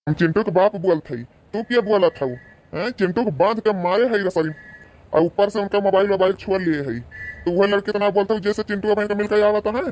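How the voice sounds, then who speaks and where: A man's voice: high-pitched, fairly quick, a little mellow, lightly acted. A man playing an angry, middle-aged village father in an Awadhi comedy cartoon, confrontational and taunting. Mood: angry, threatening.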